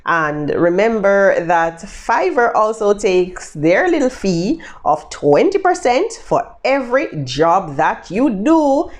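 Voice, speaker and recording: A Jamaican woman chats through a casual tutorial, upbeat and speaking directly to her viewers. Her voice sits at a middle pitch but swings widely and expressively as she talks. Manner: chatty, upbeat, addresses viewers directly with endearments. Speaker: vlogger giving freelancing tips.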